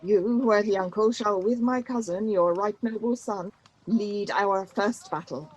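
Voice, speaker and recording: A woman's voice: medium pitch, measured pace, a little mellow, natural voice, low-quality recording. A woman playing a young noble prince and army leader in a Shakespeare radio play, with formal commanding verse.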